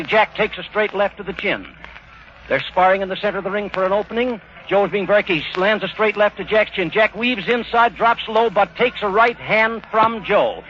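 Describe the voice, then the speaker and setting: A high-voiced man gives clipped, rapid boxing commentary from ringside. It is an old 1930s radio broadcast, dull in tone with some background noise. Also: fairly bright tone. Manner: clipped, running present-tense description. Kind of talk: 1930s live radio blow-by-blow sports commentary. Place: outdoor stadium ringside.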